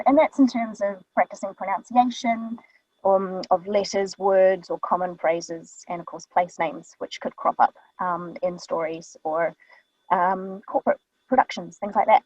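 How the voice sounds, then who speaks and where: A woman's voice: mid-range voice, a little mellow, no echo. Woman, New Zealand accent, casual chatty explainer, studio mic, dry booth, slightly muffled. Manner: chatty, many ums, lists items. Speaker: voice artist guest. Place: small vocal booth. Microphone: studio microphone. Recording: dull, dry booth sound.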